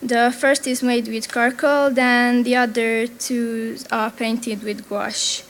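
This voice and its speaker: A woman's voice: on the high side, little pitch movement, slow pace. A young woman from Transylvania, a native Hungarian speaker with a Hungarian accent, presenting her artwork in a nervous, hesitant way with many restarts. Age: young adult. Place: event venue.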